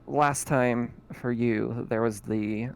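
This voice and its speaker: A man with a gravelly, slightly creaky voice runs a science-fiction tabletop game over voice chat. He talks in a relaxed, easygoing way, with plenty of ums and small laughs between lines. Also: mid-range voice, slow pace. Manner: relaxed, laughs often, many fillers. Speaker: game master.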